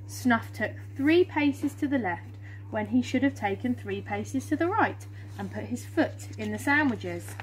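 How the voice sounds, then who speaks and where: A woman's voice: mid-range voice, low-quality recording. A woman in her thirties with an English accent, reading a children's picture book aloud. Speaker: storyteller reading to schoolchildren. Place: small fenced garden, outdoors. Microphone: camera or phone a short distance away. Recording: outdoor phone recording with background hum.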